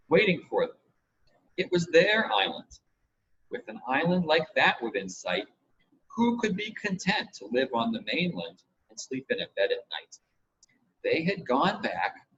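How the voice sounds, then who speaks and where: A man reading a children's story aloud as scripted audiobook narration, in an expressive, measured way. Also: a little high. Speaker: audiobook reader. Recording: dull audio with faint noise.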